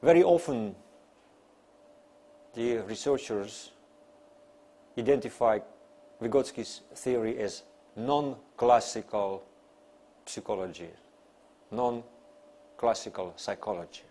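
A man in his fifties, a non-native English speaker, giving an academic lecture in deliberate, emphatic phrases with pauses. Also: on the low side, mellow. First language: not English. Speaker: university lecturer. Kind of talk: academic lecture, partly read from notes. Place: small classroom, seated at a desk.

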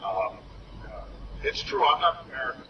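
A man giving a public speech, halting, with fillers and restarts. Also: medium pitch, lively intonation. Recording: distant muffled noisy archive clip.

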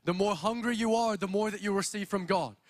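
A young man from Australia with an Australian accent, preaching an energetic sermon as a guest speaker from a church stage into a handheld mic. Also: high voice, fairly quick. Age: young adult. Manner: energetic, exhorting, walks the stage.

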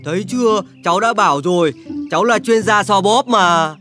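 Woman doing a cocky lil cartoon animal buddy, eager to help, mid pitch, music playing. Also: normal speed, a bit bright, close to an everyday voice. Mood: confident, boastful. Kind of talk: children's cartoon dub acting. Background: cartoon background music.

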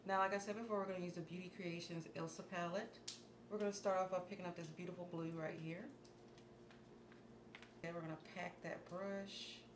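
A slightly hoarse woman in her thirties walks viewers through a makeup tutorial in a calm, instructive voice that drops toward a murmur as she works. Her voice sits fairly low. The small room around her sounds echoey, with a steady hiss underneath. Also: a little fast. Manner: calm, instructive, softens to near murmur while working. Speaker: beauty vlogger. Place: small indoor room against a plain wall.

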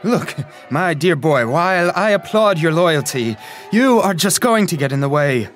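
A man plays a brisk army doctor in an audio drama. His voice sits fairly high, pompous and commanding as he talks down to others. Light music is behind him. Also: expressive, lightly acted. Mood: impatient. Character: brisk, authoritative male army doctor, patronising.

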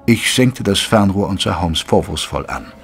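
An actor playing an indignant, curious Victorian gentleman who narrates an audio drama in measured literary German prose. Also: low voice, expressive, vocal fry, soft background music. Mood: measured narrating.

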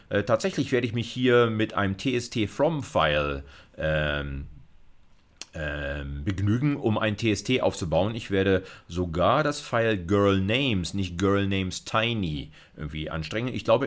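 A man's voice: fairly low pitch, animated, measured pace. A middle-aged man giving an informal video lecture, thinking aloud through a live coding demo and dropping in English technical terms. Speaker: university lecturer.

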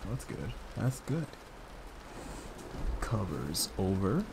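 A man speaking softly in an intimate, soothing ASMR boyfriend roleplay, murmuring in a comforting, gently teasing way. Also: low voice, very animated, slow pace. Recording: close recording with clear background noise.

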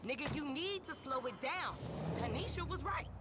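High-pitched, brash young street woman. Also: lively intonation, normal speed. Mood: sassy, pushy. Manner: slangy, sassy, pushy. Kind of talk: video game voice acting, street slang. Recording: car and street noise behind. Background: car engine and street ambience.

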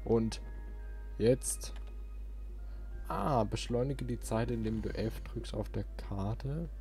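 A man's voice: medium pitch, slow, warm tone. A man giving casual let's play commentary as host of his channel. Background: game music.

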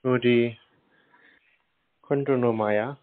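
A man's voice: medium pitch, fairly even intonation, slow pace, no room sound. A man in his thirties answering a phone call in short, clueless-sounding replies. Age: 30s. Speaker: prank call recipient of a scam call. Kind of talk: phone conversation, brief replies.

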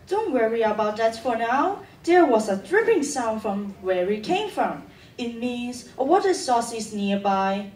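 A woman's voice: a little high, big pitch swings, unhurried, darkish tone. A teenage girl acting a sensible, reassuring schoolgirl explorer in a radio drama. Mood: steady, concerned. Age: teens. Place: stage of a studio theatre. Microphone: far camera. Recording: echoey theatre, distant microphone.

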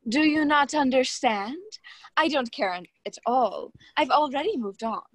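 A woman's voice: high voice, measured pace, dry recording. A young woman acting in a radio play as a scheming, haughty society hostess, condescending and taunting. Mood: cold, demanding. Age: young adult.

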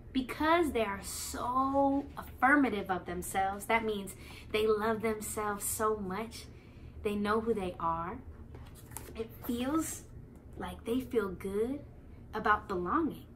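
A young American woman reading a picture book aloud to children, expressively, pausing to explain and ask the young listeners questions. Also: mid-range voice, slight background noise, low-quality recording. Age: young adult. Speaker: children's read-aloud host. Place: living room, on a couch.

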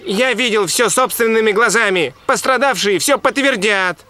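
A man's voice: sits high, normal speed, sharp tone. A man voicing a brave, hot-headed young dog who wants to be a musketeer, earnest and declamatory in a dubbed cartoon. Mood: defiant. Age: young adult.

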